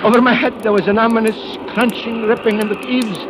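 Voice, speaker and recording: A man's voice: high-pitched, steady tone, a little fast, background noise, natural voice. An actor playing a frantic, greedy old man in a 1940s radio drama, overwrought and prone to pleading and stammering. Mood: tense, haunted narration. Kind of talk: 1940s radio drama acting, first-person narration. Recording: old radio recording with music behind.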